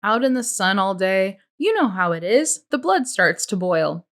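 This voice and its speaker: A woman's voice: medium pitch, sing-song, a little slow. Woman as laid-back, placating teenage boy. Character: casual teenage boy smoothing things over.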